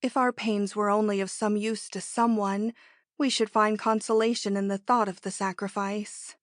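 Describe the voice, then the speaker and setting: A woman's voice: mid-range voice, flat delivery, moderate pace, no room sound, studio quality, lightly acted. A woman playing a melancholy, romantic married woman with a sighing, plaintive delivery in a dramatic reading of classic literature. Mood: wistful, self-pitying.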